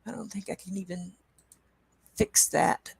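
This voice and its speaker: A woman with a croaky voice hosting a coloring livestream, chatting casually and reading chat aloud at an easy pace. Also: mid-range voice, dry recording. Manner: slow, unhurried, reads chat aloud with soft asides. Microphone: webcam.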